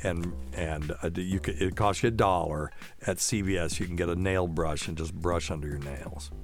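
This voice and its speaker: A man's voice: sits low, steady tone, moderate pace, soft background music. A man hosting a casual live show, answering listener questions in a folksy, rambling way and explaining medical terms plainly.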